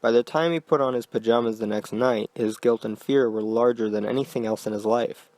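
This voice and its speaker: Male horror narrator, mid-pitched, measured and quiet, dry booth-style recording. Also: no echo. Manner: quiet, deliberate storytelling.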